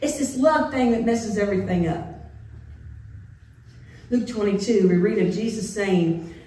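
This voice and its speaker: A middle-aged woman pastor preaching a sermon in a church sanctuary, playful and animated, acting out the voices of biblical figures. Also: medium pitch, measured pace. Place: church sanctuary with organ pipes. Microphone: far camera in the pews. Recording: echoey church from a distance.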